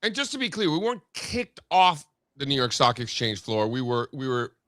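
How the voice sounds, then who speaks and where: A man's voice: mid-range voice, very animated, normal speed, sharp tone, dry recording. A man co-hosting a morning show, telling stories in casual, joking, mock-serious banter.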